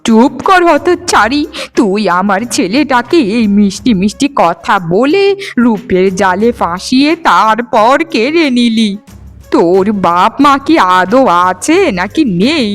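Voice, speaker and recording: A woman's voice: fairly high pitch, expressive, a little slow, faint music behind. A woman voicing a greedy, bitter mother-in-law in a melodramatic cartoon, scolding with sarcasm and accusation. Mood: angry.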